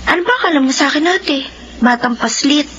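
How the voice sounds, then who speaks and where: A sullen young man in a radio drama, curt and defensive with an irritable edge. His voice sits high, on slightly dull audio with faint noise. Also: brisk, fairly bright tone. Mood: irritated.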